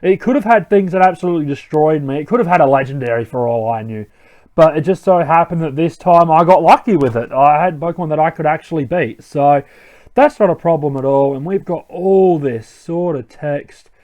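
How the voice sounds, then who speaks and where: A man's voice: on the high side, a little fast, darkish tone. A young man hosting a let's play, giving casual commentary and thinking aloud with many ums.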